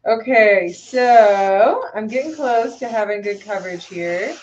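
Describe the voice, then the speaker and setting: Woman, mid pitch, slightly hoarse, loose rambling chat with fillers; dull lo-fi audio with faint noise. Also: unhurried, a little mellow. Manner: rambling, hesitant, many fillers and restarts. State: slightly hoarse after losing her voice. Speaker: lure painter streaming live. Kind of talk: casual live-stream chat while working.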